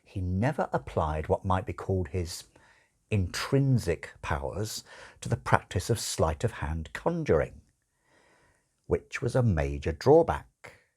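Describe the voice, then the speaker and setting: A man reading a literary audiobook as narrator, expressive, with wry, deliberate phrasing. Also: low-pitched, husky.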